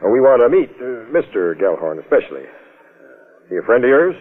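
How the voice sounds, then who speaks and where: Commanding police captain, blunt, mid-pitched, 1940s radio drama through narrow, thin old audio. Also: male voice, sing-song, moderate pace. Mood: probing. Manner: blunt, authoritative. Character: curt, commanding male police captain questioning suspects. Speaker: actor in radio crime drama.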